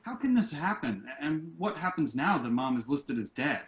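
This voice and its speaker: A man's voice: fairly high pitch, sing-song, moderate pace. A man playing an earnest, concerned grown son in a comic play read aloud. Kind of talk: table-read stage comedy acting. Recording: roomy, echoey, off-mic sound.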